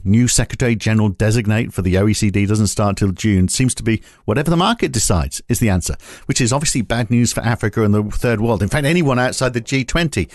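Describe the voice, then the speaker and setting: A man's voice: medium pitch, a little mellow, dry recording, clean studio sound. A man hosting a business podcast, giving sardonic, conversational commentary before introducing his guest. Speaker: podcast host. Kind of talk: opinionated commentary and interview.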